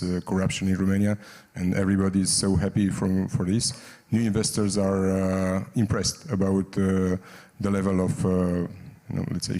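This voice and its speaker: Male conference moderator, dark relaxed tone, even intonation, hesitant and conversational, reverberant hall. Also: sits low. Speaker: panel moderator.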